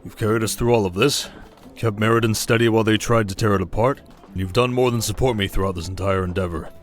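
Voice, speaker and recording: A man's voice: fairly low pitch, fairly even intonation, fast, close to an everyday voice. A man playing a wry, teasing young king in an audio drama, with a dry, stately manner. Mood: warm encouragement. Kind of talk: audio drama acting, ASMR roleplay.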